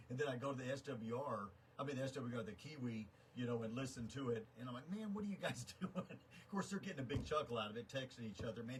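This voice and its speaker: A man chatting casually over amateur radio in a folksy, rambling way. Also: medium pitch. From United States, Texas. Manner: folksy, rambling, chuckling asides. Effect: radio transmission. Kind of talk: casual rag-chew radio chat. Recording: noisy shortwave amateur radio signal.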